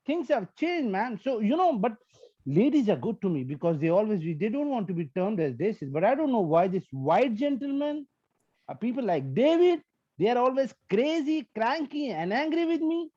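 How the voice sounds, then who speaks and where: A man's voice: very high-pitched, very animated, normal speed, mellow, dry recording, dull audio. A man from India with an Indian accent whose first language is Hindi and Punjabi, doing a profane, brash stand-up set. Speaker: stand-up comedian.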